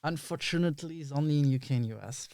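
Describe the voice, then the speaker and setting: Mellow man chatting candidly, close dry sound. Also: fairly high pitch, fast, no room sound. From Belgium. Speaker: podcast guest, filmmaker. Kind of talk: casual interview chat.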